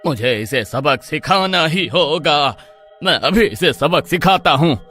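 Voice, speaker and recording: An excitable young husband from a spooky storybook, voiced by a man, with a fairly high voice and a slow, drawn-out delivery. He cries out loudly in panic, with faint music behind. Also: exaggerated voice. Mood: angry. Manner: loud panicked cries for help. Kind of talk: Hindi storybook voice acting.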